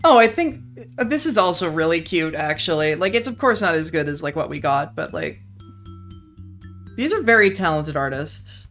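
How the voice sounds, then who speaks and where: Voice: medium pitch, lively intonation, normal speed, fairly bright tone, faint music behind. A streamer wrapping up a live game session in casual chat, talking freely with lots of "like" and swearing.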